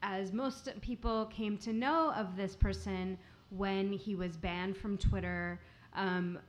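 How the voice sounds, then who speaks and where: A woman's voice: medium pitch, steady tone, slow. A woman interviewing a guest, hesitant and searching for her words. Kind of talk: live interview questions.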